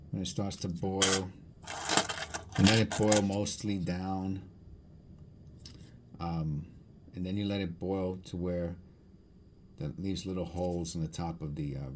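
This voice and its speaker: A man with a low voice talks in a loose, rambling way, as a hobby video host does while busy with his hands. He muses aloud, repeating and correcting himself as he goes. The recording carries a faint layer of noise. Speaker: coin hunting video host. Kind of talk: rambling casual chat while sorting coins.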